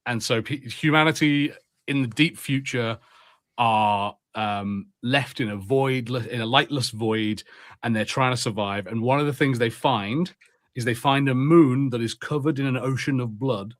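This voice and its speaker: A man co-hosting a casual podcast, talking in long animated rambles at a medium pitch. His voice is recorded dry and close, with no room sound. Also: unhurried, dry recording. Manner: rambling, animated, laughs easily. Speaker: podcast co-host.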